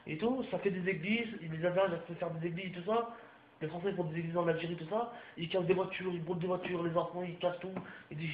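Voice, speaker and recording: Heated young man ranting, roomy sound. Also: high voice. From France. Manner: heated, repetitive, crude slang, addresses viewers directly. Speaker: vlogger. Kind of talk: ranting monologue to camera. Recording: roomy, muffled home recording with faint background hiss.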